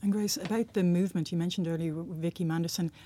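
A woman's voice: lowish voice, steady tone, quick pace, dark tone. A woman interviewer asking thoughtful questions in a searching way.

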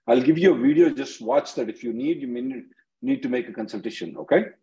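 Blunt, mellow-voiced man hosting a call-in. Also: medium pitch, animated, quick pace, breathy edge, poor audio. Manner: blunt, impatient, repeats phrases. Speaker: immigration attorney hosting a conference call. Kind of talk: call-in question and answer.

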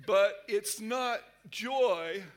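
A man with an American accent preaching playfully and exuberantly to a congregation, pausing for an interpreter. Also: high voice. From Texas, United States. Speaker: guest preacher. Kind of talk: interpreted live sermon, joking with congregation.

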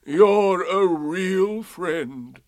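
A man voicing a gloomy old grey donkey in a storybook, with slow, drawn-out, mournful speech. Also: high voice, no room sound, pitched above the performer's own voice. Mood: glum gratitude.

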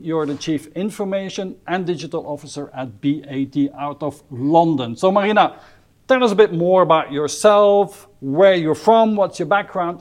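Guy with a slightly high voice hosting a business interview, polite, asking questions. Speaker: interview host.

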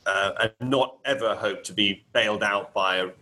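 A man's voice: fairly low pitch, moderate pace, bright, forceful tone. A man who grew up in Kenya, chatting as an interview guest and speaking hesitantly with many restarts. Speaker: guest, property finance specialist. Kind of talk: casual interview chat. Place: home in Barcelona.

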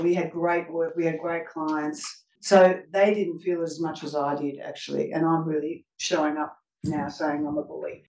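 A woman's voice: on the low side, darkish tone. A woman on a panel telling blunt, emphatic stories about her work, repeating words for weight. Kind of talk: panel discussion answer. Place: event room with audience. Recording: echoey room from a distance, faint noise.

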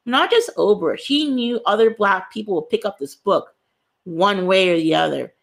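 An earnest woman speaks with a gravelly, creaky edge to her voice. She talks about her work as a guest author, leaning on repeated phrases to drive each point home. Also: mid-range voice, lively intonation, moderate pace. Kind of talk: reflective interview answer.

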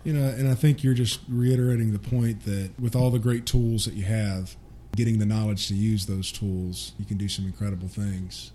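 Mellow low-pitched man, noisy background. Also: fairly even intonation, normal speed, hardly any pauses. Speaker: interviewer, technology teacher. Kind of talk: casual chat.

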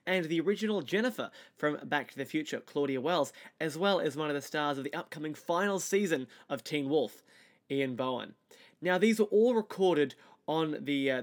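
An Australian man with a slightly high voice hosts a podcast. He presents and puts questions in a polite, presenterly way. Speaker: podcast host and interviewer.

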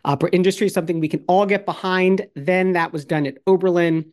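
A woman's voice: on the low side, steady tone, fast, darkish tone. A woman co-hosting a podcast, reading a guest introduction and asking playful questions. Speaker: podcast co-host. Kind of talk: scripted introduction and interview questions.

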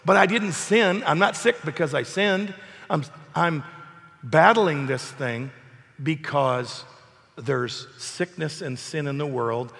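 A man preaching an emphatic sermon while hoarse from a cold, asking his congregation questions. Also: a little high, slow pace, fairly bright tone, in a large hall. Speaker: pastor. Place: church hall.

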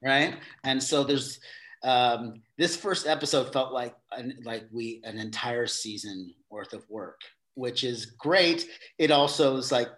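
A man's voice: medium pitch, unhurried, fairly bright tone. A middle-aged man, a guest on an interview show, explaining his theater company's new work in a rambling way, often restarting his sentences. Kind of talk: casual interview talk.

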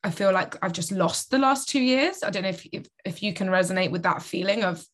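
A woman, co-hosting a casual podcast, her voice tired and a little croaky. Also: medium pitch, expressive, brisk. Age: 20s. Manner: chatty, reflective, quotes her own inner thoughts. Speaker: podcast co-host. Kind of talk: casual chat.

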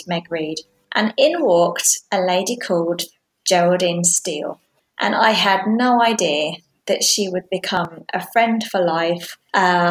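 A woman in her forties hosting a podcast interview, warm and effusive as she introduces her guest. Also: lowish voice. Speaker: podcast host. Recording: echoey with loud music behind.

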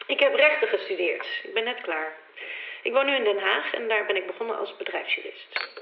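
A young woman playing the worried, loyal sister of a missing man in an audio drama, talking casually and haltingly on a roomy, thin recording. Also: mid-range voice, expressive, creaky. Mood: reflective. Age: young adult. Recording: roomy, thin phone-like old tape.

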